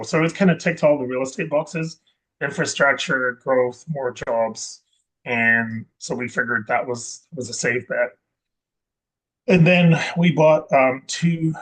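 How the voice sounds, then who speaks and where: A man from Scotland talks through his story as an interview guest. He wanders through his points, restarting sentences and filling gaps with ums. His voice sits at an ordinary middle pitch. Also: fairly bright tone, low-quality recording. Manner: rambling, self-correcting with many ums and restarts. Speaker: guest investor. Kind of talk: casual interview chat.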